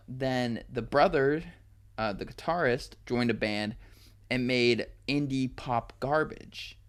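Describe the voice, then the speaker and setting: A man in his twenties co-hosting a podcast, chatting casually and swearing freely. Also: medium pitch. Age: 20s. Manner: animated, swearing, quick laughs. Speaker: podcast co-host. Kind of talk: casual chat.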